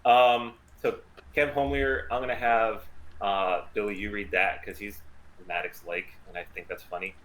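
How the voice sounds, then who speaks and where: A man's voice: on the low side, steady tone, normal speed, fairly bright tone, poor audio. A man chatting casually as he organises a group script read over an online call. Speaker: writer and organiser of the table read.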